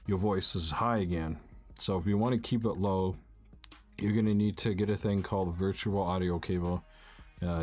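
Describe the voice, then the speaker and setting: Deep-voiced casual man explaining software. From Canada. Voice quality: low voice. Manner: casual, rambling, frequent "uh" and "basically". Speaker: tech tutorial youtuber. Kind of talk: casual screen-recorded tutorial. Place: home room with a bar fridge.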